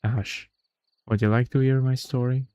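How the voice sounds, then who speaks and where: Man reading as a soft, hesitant, frail companion. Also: medium pitch, level tone, normal speed, smooth, dry recording, close to an everyday voice. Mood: soft, weary. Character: soft-spoken, flustered, frail male visual novel companion. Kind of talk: visual novel read-aloud.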